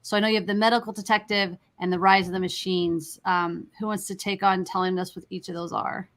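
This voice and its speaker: A woman's voice: medium pitch, level tone, brisk, a bit bright. A woman hosting a casual interview, talking in a conversational way as she puts questions to guests. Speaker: interview host.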